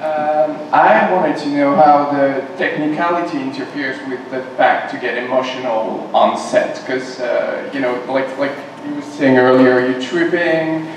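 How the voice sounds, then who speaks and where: A man's voice: medium pitch, steady tone, a little slow, few pauses, a little hiss or hum. A man in the audience asking a question politely, searching for his words. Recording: echoey room, heard from a distance.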